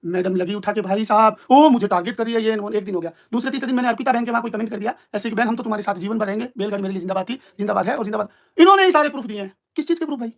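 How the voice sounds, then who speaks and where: Very fast-talking man arguing his point. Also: high voice, gravelly. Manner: argumentative, emphatic, repetitive. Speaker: live stream host. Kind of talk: informal live-stream argument and clarification.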